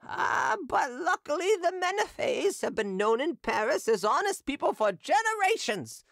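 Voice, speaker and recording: A shrill, jeering drunken street hawker woman, shouting her abuse at the top of her voice. Her pitch swoops wildly up and down, and the recording is close and dry. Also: sing-song, a little slow, gravelly, no room sound, heavily acted. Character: drunken staggering female street hawker, abusive.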